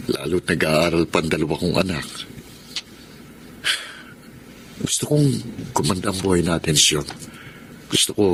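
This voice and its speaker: A man's voice: low-pitched, background noise. An actor with a Filipino accent playing an earnest, humble working-class man courting his sweetheart in a radio drama. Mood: worried, hopeful.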